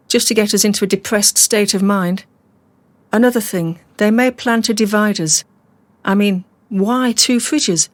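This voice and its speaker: Actress as level-headed female captive in radio drama: dry wit, measured reasoning, mid-pitched, close dry sound. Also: dry recording, natural voice. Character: calm, analytical, wry woman held captive. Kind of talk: naturalistic radio drama acting.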